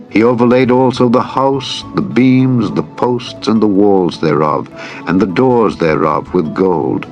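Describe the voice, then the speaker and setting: A man reading scripture as a scripted audiobook narration, in a measured, solemn manner. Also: lowish voice. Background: music bed.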